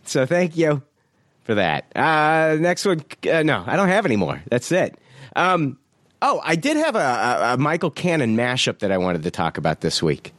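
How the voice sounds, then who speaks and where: A man's voice: a little high, big pitch swings, normal speed, fairly bright tone, dry recording, studio quality. A man hosting a podcast, casually reading out listener reviews, laughing often and swearing offhandedly.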